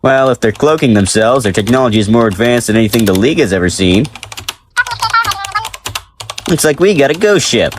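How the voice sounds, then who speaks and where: An actor playing a brash, sarcastic male superhero in an audio drama, grumbling in a colloquial, gruff manner. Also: medium pitch, background noise, natural voice.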